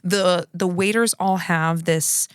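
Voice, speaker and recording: A woman co-hosting a podcast talks in an easy, enthusiastic way, piling up praise and restarting half-finished sentences. Her voice sits a little low for a woman. The recording is close and dry, with no room sound. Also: fairly even intonation, slow, clean tone, no echo. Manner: chatty, enthusiastic, trails off and restarts. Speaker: podcast co-host. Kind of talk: casual chat.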